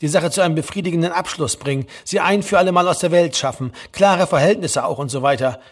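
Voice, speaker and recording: A man acts out a grumpy audiobook character of almost thirty with a husky voice. He is short of sleep, pedantic and exasperated, and argues every small point. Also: a little high, quick pace, close to an everyday voice. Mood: smugly satisfied. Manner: irritable, argumentative, exasperated.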